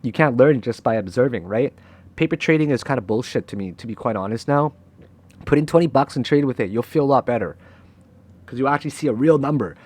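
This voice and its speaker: Young male host, mid-pitch, casual monologue to camera; blunt, swears, checks in with "right?". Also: fast, darkish tone. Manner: frank and emotional, swears freely, keeps asking "right?". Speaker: crypto trader and channel host. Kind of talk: candid motivational talk to camera.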